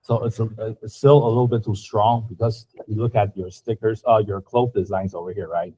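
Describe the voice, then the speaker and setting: A man's voice: fairly low pitch, steady tone, brisk, warm tone, breathy edge. A man giving a student feedback in class, talking casually and searching for words. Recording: dull lo-fi recording.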